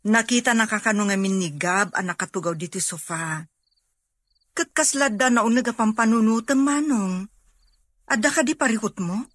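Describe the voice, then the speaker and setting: A woman's voice: fairly high pitch, moderate pace, fairly bright tone, no room sound, clean studio sound. A woman with an Ilocano Filipino accent playing a concerned, probing married sister in a radio drama.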